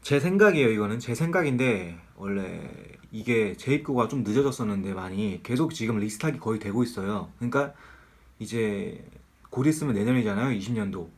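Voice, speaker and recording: A man's voice: lowish voice, lively intonation, fairly quick, a little mellow. A man with a cold giving a casual, candid sneaker review in a nasal, slightly strained voice, joking about being broke. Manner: chatty, candid, self-deprecating about money.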